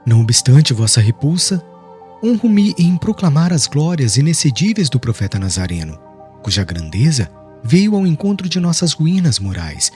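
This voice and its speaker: A man's voice: a little high, big pitch swings, moderate pace, darkish tone, faint music behind. A man voicing a serene, devout young preacher in an audiobook, eloquent and formal, declaiming with solemn firmness. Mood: firm, serene.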